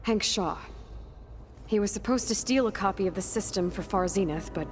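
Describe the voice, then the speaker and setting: An actress playing a determined, inquisitive young heroine in a video game, pensive, plain-spoken and direct. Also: female voice, medium pitch, moderate pace, soft background music, lightly acted. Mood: pensive, probing. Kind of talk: scripted video game dialogue.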